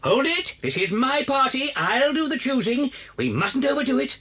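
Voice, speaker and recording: A man's voice: very high voice, very animated, normal speed, bright, forceful tone, husky, exaggerated voice. A British actor playing a sly, confident, charming father fox in a children's audio story, suave and theatrical, relishing his words. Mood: bossy, gleeful.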